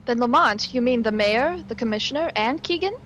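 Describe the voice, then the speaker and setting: An actress playing an inquisitive, poised sleuth's companion in an old-time radio drama. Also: female voice, on the high side, expressive, fairly quick, fairly bright tone, slight background noise. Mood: inquisitive, earnest. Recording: dull lo-fi stream audio.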